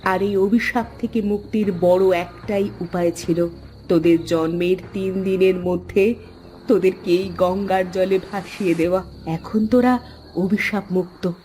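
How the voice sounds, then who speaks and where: Cartoon mother actress: longing, insistent, emotional, average female pitch. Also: fairly even intonation, measured pace, dark tone, slight background noise, natural voice. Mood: pleading, insistent. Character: anguished mother longing for her lost daughters. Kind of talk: Bengali animated moral-story dubbing.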